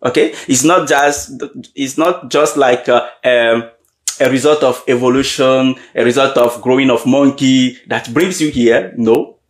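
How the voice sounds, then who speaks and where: A man giving a motivational leadership talk, often pausing to check in with his audience and repeating key questions. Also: mid-range voice. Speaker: leadership coach. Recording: roomy echo.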